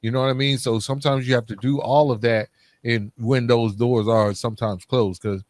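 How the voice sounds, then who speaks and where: A man's voice: mid-range voice, steady tone, normal speed, no room sound. A man with a mid-range voice hosts a casual podcast conversation. He talks informally and candidly, with colloquial phrasing. The sound is dry and close to the microphone. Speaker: podcast host. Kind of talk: casual interview and personal reflection.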